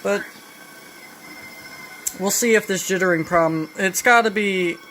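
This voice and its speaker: A woman talking casually through a hands-on repair demonstration, halting and thinking aloud as she goes. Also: medium pitch, soft background music. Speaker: vintage electronics repair hobbyist. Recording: noisy home video, steady background noise. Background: clear background noise.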